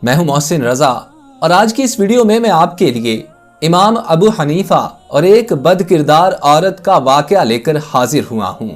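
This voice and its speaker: A man's voice: a little high, expressive, a little slow. A man narrating a scripted religious moral story in a formal, reverent storytelling manner. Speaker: storyteller and channel host. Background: background music.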